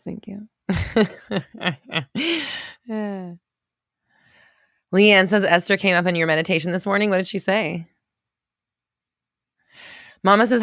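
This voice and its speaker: A woman in her thirties hosting a casual livestream, reading viewer comments aloud and reacting with quick laughs and exclamations. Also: lowish voice, vocal fry, fairly bright tone, no echo, clean studio sound. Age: 30s.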